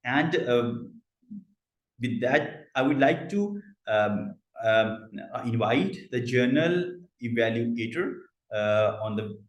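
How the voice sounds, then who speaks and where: Man who stammers, slow, many fillers, echoey room, video call. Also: medium pitch, expressive. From Pakistan. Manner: stammers, frequent fillers and repeated words. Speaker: meeting host. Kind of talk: hosting an online club meeting.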